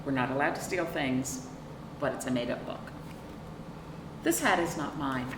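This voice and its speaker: A middle-aged woman hosting a children's storytime, reading aloud with chatty asides, expressive drawn-out emphasis and questions to young listeners. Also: a bass voice. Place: small indoor room, seated on a couch. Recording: roomy, distant mic with clear background noise.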